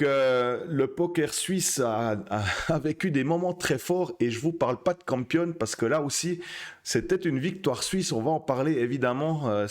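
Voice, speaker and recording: A man hosting a casual poker live stream, talking with many fillers and restarts. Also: mid-range voice. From Switzerland.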